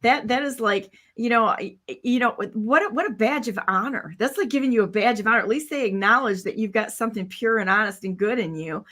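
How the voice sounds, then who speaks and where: A woman's voice: fairly high pitch, a little fast, few pauses, fairly bright tone. A woman in her sixties hosting a casual chat, blunt and rambling, full of "you know" and "like". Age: 60s. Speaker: host.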